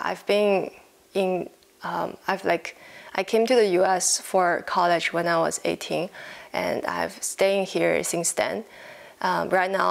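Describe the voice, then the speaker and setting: Young Chinese-accented woman, creaky low voice. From China. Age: 20s. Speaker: knitting podcast host. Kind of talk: casual chat to camera. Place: living room at home, indoors.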